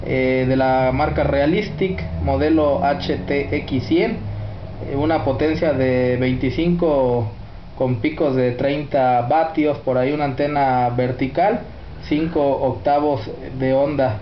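A man's voice: mid-range voice, steady tone, slow pace. A Mexican man chatting as an amateur radio operator, courteous, using radio codes and formal sign-offs. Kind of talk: radio contact chat, reporting signal and equipment. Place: indoors at a radio set. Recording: roomy, dull, faint noise.

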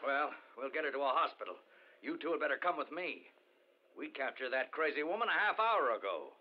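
Brisk policeman on old radio. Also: fairly high pitch, sing-song. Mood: brisk, official. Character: businesslike male police officer on night patrol. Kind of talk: old-time radio drama acting. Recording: muffled vintage radio recording.

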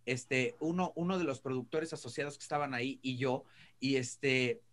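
A man's voice: medium pitch, steady tone, moderate pace, fairly bright tone. A middle-aged man with a Mexican accent co-hosting a talk show, telling anecdotes in a casual, rambling, emphatic way. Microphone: video call.

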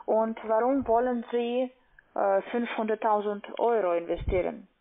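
A woman's voice: on the high side, steady tone. Woman with foreign accent on tinny phone line. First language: not German. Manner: halting, searching for words. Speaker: call-center investment agent. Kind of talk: phone sales conversation. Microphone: telephone.

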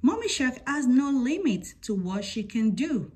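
A woman's voice: high voice, sing-song, unhurried, a little mellow, slightly rough. A woman reading a picture book aloud to children as a scripted story-time narration.

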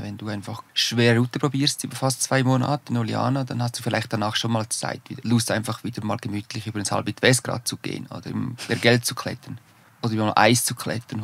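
A man's voice: fairly low pitch, creaky, fairly bright tone. A man in his forties with a Swiss German accent, a professional alpinist as guest, talking in careful standard German with restarts and tag questions. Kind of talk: casual interview talk.

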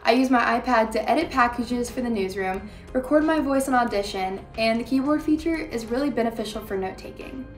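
A young woman from Ohio, a senior student, giving a brisk, informative testimonial. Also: on the high side, faint music behind. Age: young adult. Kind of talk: scripted testimonial. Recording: roomy, distant mic.

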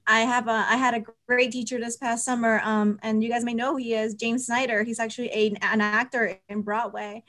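A woman's voice: fairly high pitch, a bit bright. A woman in her twenties talking casually and personally on a video call, earnest and heartfelt. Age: 20s. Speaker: amateur stage actor. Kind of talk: personal, casual panel talk. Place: indoor room on a video call.

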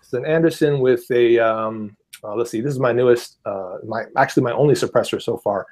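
A man in his thirties on an earbud mic over a video call, explaining things in a relaxed, measured way as a podcast guest. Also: mid-range voice. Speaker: podcast guest, gun channel creator. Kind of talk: casual podcast chat. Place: small room at home, indoors.

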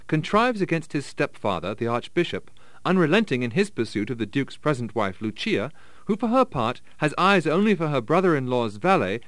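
A man's voice: mid-range voice, dry recording, natural voice. A man playing a pompous, formal opera presenter in a parody broadcast introduction.

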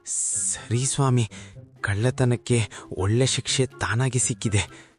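A man's voice: mid-range voice, takes it slowly, faint music behind, close to an everyday voice. A man playing a meek rich townsman, deferential and reasoning, in a cartoon folk tale.

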